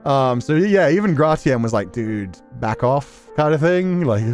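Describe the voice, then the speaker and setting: A man's voice: on the high side, animated, slow pace, darkish tone. A man streams casual, rambling commentary in an informal, conversational way. His delivery is emphatic and peppered with slang as he addresses his viewers. Music plays behind his voice. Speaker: streamer reacting to a story game. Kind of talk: casual rambling commentary, reading and answering chat.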